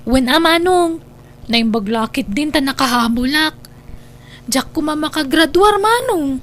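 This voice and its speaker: A woman's voice: sits high, expressive, a little slow, fairly bright tone. A woman with an Ilocano accent acting a needy young student, the younger sister, in a radio-style drama. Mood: grateful, coaxing. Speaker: voice actor.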